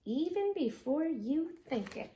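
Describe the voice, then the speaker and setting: A woman with an American accent voicing eager young twin boy builders in a storybook, earnest and expressive. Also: sits high, slow pace, noisy background, full character voice. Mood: excited. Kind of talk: storybook character dialogue.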